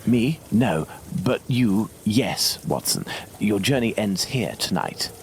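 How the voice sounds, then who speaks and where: A cool, commanding British gentleman detective from a dramatic adventure game. His voice sits low, yet it rises and falls theatrically as he reasons in formal, measured phrases, utterly sure of himself. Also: sing-song, a little hiss or hum, full character voice. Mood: firm, ominous. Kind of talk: dramatic video game acting.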